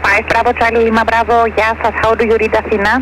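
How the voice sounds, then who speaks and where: A Greek-accented male controller speaks quickly in a high, monotone voice. His procedural messages arrive over aviation radio with faint noise behind them. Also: poor audio. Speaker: air traffic information officer.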